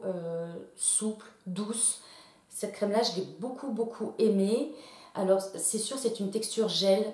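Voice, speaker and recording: A woman's voice: medium pitch, dark tone, roomy sound. Chatty woman reviewing beauty products. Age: late 30s. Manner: chatty, many fillers and restarts. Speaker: beauty vlogger.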